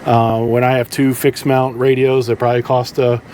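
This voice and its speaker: A man giving instructions in a casual, halting, conversational way, as a boat captain presenting tips. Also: mid-range voice, level tone, a little mellow, a little hiss or hum. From southeastern United States.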